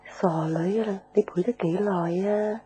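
An actress playing a gentle, resigned, ailing middle-aged mother in a radio drama, weary, with a Hong Kong Cantonese accent. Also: mid-range voice, steady tone, a little slow, lightly acted. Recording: faint music bed.

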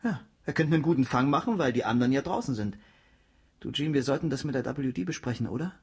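Husky young male researcher, cocky and earnest. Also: mid-range voice, lively intonation, quick pace. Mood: eager. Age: young adult. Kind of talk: German radio drama dialogue.

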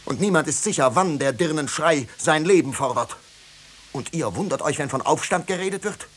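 An actor playing a tormented, guilt-ridden pastor who pleads fervently, in formal 1950s German radio-drama style. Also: male voice, on the high side, animated, normal speed, fairly bright tone, husky. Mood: bitter, impassioned. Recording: hissy 1950s radio recording.

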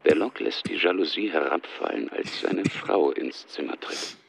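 An actor as a terse, plain scene-setting narrator in a German radio play. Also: male voice, low-pitched, moderate pace, vocal fry. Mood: neutral. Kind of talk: present-tense scripted narration.

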